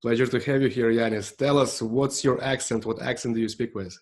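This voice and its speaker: A man's voice: medium pitch, fairly even intonation, a little fast. Upbeat male podcast host. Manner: upbeat, short prompting questions. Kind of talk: interview hosting.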